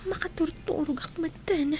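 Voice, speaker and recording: A woman with an Ilocano accent acting a gentle young student daughter in a comedy radio drama. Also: very high voice, steady tone, moderate pace, soft background music. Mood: tired, polite.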